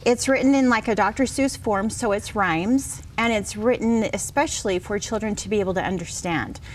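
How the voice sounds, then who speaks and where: A middle-aged woman with a mid-pitched voice talks as an interview guest. She is earnest and reassuring, sharing her story in a conversational way. There is some noise behind her. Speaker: interview guest, children's book author.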